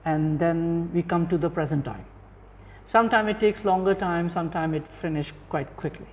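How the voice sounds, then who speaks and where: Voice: mid-range voice, fairly even intonation, moderate pace, long reverb tail. An interviewer hosting a podcast, asking questions in a conversational way and affirming often with short okays.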